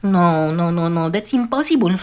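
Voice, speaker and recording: A woman's voice: fairly low pitch, expressive, normal speed, a little mellow, natural voice. A woman reciting film dialogue as an earnest, anxious young nurse, speaking plainly and sincerely. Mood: anxious, shaken.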